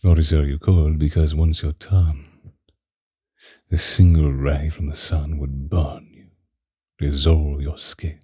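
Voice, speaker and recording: An ancient vampire lover, acted out by a man, possessive and doting, murmurs in an intimate ASMR roleplay. The voice is extremely low and very dark, with a husky, breathy edge that often slips toward a whisper. The old-fashioned romantic phrases come slowly and close to the mic. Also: flat delivery, no echo, exaggerated voice. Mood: tender, possessive. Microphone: close microphone, dry.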